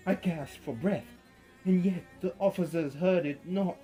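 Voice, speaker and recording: A man giving a theatrical reading of a story, swinging from hushed to loud. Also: high-pitched, a little slow. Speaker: narrator. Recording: faint music behind.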